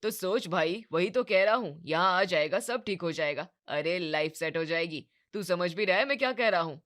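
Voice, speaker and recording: A woman voicing a pushy, confident young man from the city, persuasive and insistent, in animated story dialogue. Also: on the low side, moderate pace. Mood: urgent, insistent.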